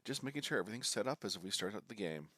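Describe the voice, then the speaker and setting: Low, nasal man with a cold. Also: lively intonation, rapid-fire, pushed and bright, no room sound. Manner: rambling, jokey, reacting aloud to the game. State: has a bad cold. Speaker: game streamer. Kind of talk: live gameplay commentary. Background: game music.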